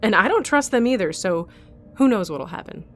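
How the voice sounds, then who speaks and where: A woman's voice: medium pitch, expressive, moderate pace, soft background music, natural voice. Woman playing a hard, bitter arena captive, blunt. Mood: cynical, desperate. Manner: blunt, demanding, sardonic. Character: hard, bitter, self-preserving female arena captive fighter. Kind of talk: audio drama acting.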